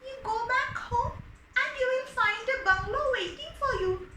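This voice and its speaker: A woman acts out a kindly magic fish from a children's storybook, very high and bright. It speaks in a chirpy, accommodating way, in a roomy space. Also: moderate pace, slight background noise. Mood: cheerful, obliging.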